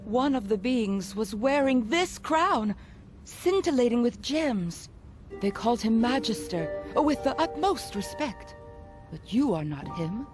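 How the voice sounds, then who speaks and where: Proud ancient noblewoman, high swooping pitch, imperious, formal, fantasy game. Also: big pitch swings, measured pace, darkish tone. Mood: remote, mysterious. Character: haughty, bewildered imperial noblewoman from an ancient age. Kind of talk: fantasy video game acting. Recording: game music behind the voice.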